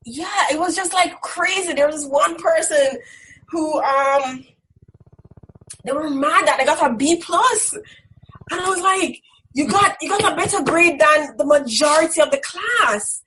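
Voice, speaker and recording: A woman's voice: high-pitched, unhurried, a bit bright, low-quality recording. A young woman speaking candidly and emphatically in a reflective interview. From Caribbean islands. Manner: strident, emphatic. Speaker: interviewee, graduate student instructor. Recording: background noise with clicks.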